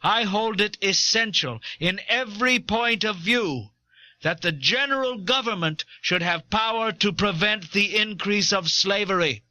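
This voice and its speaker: Man acting a slow, high-pitched indignant founding-era statesman. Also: sharp tone, no echo, full character voice. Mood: grave indignation. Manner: grave, deliberate, oratorical. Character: indignant eighteenth-century American statesman condemning slave trade. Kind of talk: solemn dramatized historical oration. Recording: dull old cassette tape.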